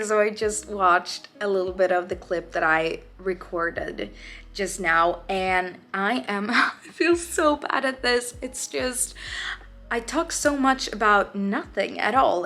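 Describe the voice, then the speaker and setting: A woman's voice: mid-range voice, steady tone, takes it slowly, creaky, pushed and bright. A young Swedish woman, an artist, talking to the camera in her home studio with a Swedish accent, hesitant and searching for her words in English, her second language. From Sweden. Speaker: artist vlogger. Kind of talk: casual talking-to-camera vlog. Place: home art studio, indoors.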